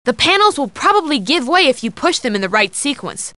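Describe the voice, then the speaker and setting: A wry, sensible young female sidekick from a detective video game. She has a bright, forceful, high voice with lively inflection. Her delivery is practical and matter-of-fact. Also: brisk, no room sound, exaggerated voice. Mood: helpful. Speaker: game character giving hints.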